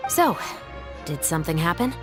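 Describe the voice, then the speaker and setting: A woman playing a dry, by-the-book police patrol captain in a video game, with a wry, measured manner. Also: low voice, very animated, over loud music. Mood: skeptical.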